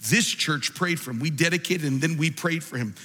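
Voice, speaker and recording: A man preaching a sermon in a large church, impassioned and building to shouts. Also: a little high, fairly quick. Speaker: pastor. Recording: long reverb of a large hall.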